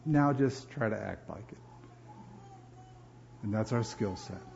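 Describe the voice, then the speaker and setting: A man from New Jersey preaching a sermon as pastor, animated and often repeating words as he builds emphasis. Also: fairly low pitch, a little slow, vocal fry, lo-fi sound.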